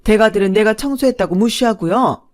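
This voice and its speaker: A woman's voice: on the low side, moderate pace, a little mellow, no room sound, clean studio sound, close to an everyday voice. A woman voicing a protective, wealthy middle-aged businesswoman who gives firm, cool orders. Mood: cold rebuke.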